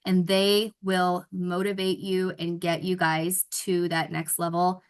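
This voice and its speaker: A woman's voice: lowish voice, flat delivery, takes it slowly, smooth. A woman hosting a trading webinar on a video call, introducing her co-coaches with enthusiasm. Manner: enthusiastic, praising. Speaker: trading teacher and webinar host.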